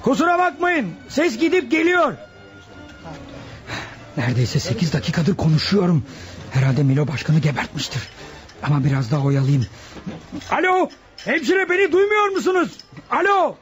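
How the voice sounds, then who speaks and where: A sly radio-play conspirator who feigns innocence. His voice is very high and swoops around, exaggerated and wheedling, over faint music. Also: very animated, moderate pace, pauses often. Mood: feigned politeness. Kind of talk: radio theatre acting.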